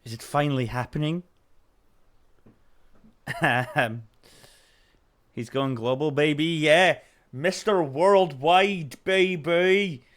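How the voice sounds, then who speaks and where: A man's voice: a little high, takes it slowly, long pauses. Scottish man chatting through a stream. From Scotland. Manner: chatty, reads chat aloud, coos at his puppy. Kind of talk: casual game stream chat.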